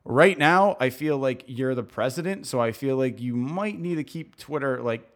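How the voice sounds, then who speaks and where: A man co-hosting a casual talk show, giving relaxed opinions with many fillers. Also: medium pitch, normal speed. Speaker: podcast co-host. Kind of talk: casual chat.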